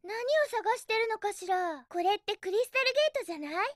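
A woman's voice: very high voice, animated, fairly quick, dry recording, clean studio sound. A woman voicing a cheerful, feisty young hedgehog girl in a video game, with a girlish voice and a perky, sassy, emphatic delivery. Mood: curious. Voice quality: bright, girlish. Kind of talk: Japanese video game voice acting.

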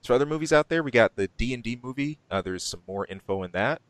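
A man's voice: mid-range voice, animated, quick pace, no echo. A man co-hosting a podcast, chatting casually about movie news with lots of ums, restarts and laughs. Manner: rambling, many ums and restarts, laughs often. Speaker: podcast co-host.

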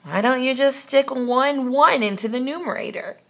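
A woman's voice: high voice, normal speed, pitched above the performer's own voice. A woman voicing a shy, earnest young male number in a children's storybook. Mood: puzzled.

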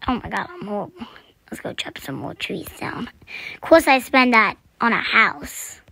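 A young woman chatting through a survival game, her voice scratchy this morning, clearing her throat and often dropping to a whisper. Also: on the high side, sing-song, normal speed, pushed and bright. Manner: chatty, self-deprecating, often drops to a whisper. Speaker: gaming channel host. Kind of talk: casual gameplay commentary.

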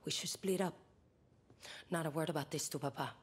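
An actress playing a cynical woman in a video game, wry and conspiratorial, with a husky voice. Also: sits low, brisk. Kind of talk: video game cutscene dialogue.

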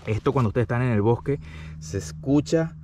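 A man giving a casual, explanatory hands-on product review, often pausing to check the listener follows. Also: medium pitch, animated. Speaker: product reviewer, channel host. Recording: distant mic, faint background noise.

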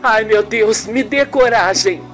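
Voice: mid-range voice, slow, a bit bright, faint music behind. A performer voicing an anxious, devout woman in love in an amateur radio soap, melodramatic and heartfelt. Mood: anxious, resolute.

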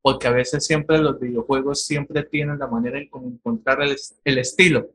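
A man hosting a video call interview, asking long, halting questions. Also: medium pitch, fairly even intonation, fairly bright tone. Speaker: interview host. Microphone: video call webcam.

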